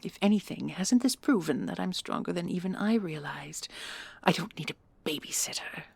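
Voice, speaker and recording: A woman acts out a proud female sci-fi commandant, earnest and anxious under pressure. Her slightly low voice is husky and creaky, her speech formal, deferential and halting, in the manner of an audio drama. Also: expressive, a little mellow, no room sound, exaggerated voice. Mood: proud, protesting. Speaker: voice actress and creator. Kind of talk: audio roleplay acting.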